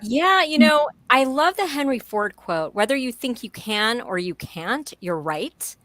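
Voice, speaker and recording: A woman's voice: on the high side, animated, measured pace, fairly bright tone, no room sound. A woman in her forties speaking as a guest in an interview, emphatic and enthusiastic in a motivational way. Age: 40s. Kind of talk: conversational interview.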